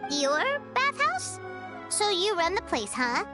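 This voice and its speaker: A woman voicing a small, excitable, food-loving childlike fairy companion in a video game, chirpy and exclamatory. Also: very high-pitched, slow, loud music behind the voice, heavily acted. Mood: curious. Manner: chirpy, exclamatory, speaks of herself in third person. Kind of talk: video game character dialogue.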